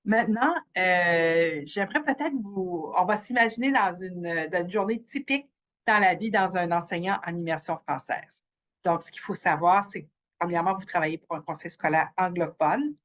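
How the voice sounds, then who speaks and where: A woman gives an informational talk, her voice sitting a little low. She halts often and fills gaps with hesitations as she explains. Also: fairly bright tone, muffled recording. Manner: halting, many fillers. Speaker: presenter. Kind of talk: informational presentation.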